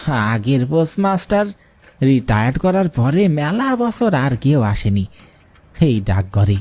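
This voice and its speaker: A man's voice: mid-range voice, sing-song, measured pace, darkish tone. A man playing a friendly, chatty village rickshaw puller in a cartoon, speaking rural Bangladeshi Bengali. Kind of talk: cartoon dialogue. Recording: music behind the voice.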